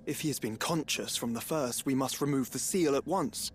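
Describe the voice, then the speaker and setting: A man's voice: mid-range voice, normal speed, slight background noise, lightly acted. An actor playing a stern, learned man in a video game, with a formal, grave and clipped way of speaking. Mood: urgent, grave.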